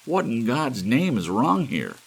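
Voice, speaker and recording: A man's voice: medium pitch, unhurried, gravelly, husky, pitched above the performer's own voice, heavily acted. A man voicing a rattled young male druggist in an audiobook, muttering hesitantly or bursting out in bewildered outcries. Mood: panicked. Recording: steady hiss of soft rain.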